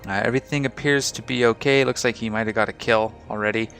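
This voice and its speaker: A man hosting a casual game video, talking through his ship setup with a slightly rough, hoarse voice. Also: fairly low pitch, fast talker, faint music behind. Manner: relaxed, rambling, explains ship fittings. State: slightly rough voice after a night of singing. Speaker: gaming channel host. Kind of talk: casual gameplay commentary.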